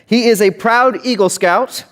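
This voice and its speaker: A man's voice: high-pitched, measured pace, a bit bright. A man hosting a conference, giving a live stage introduction of a speaker. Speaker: event host introducing a speaker.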